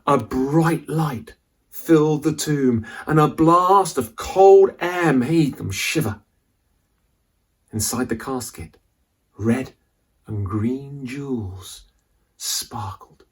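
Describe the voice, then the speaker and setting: A man reading a children's adventure story aloud as a dramatic, suspenseful narrator with deliberate delivery. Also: medium pitch, slightly rough.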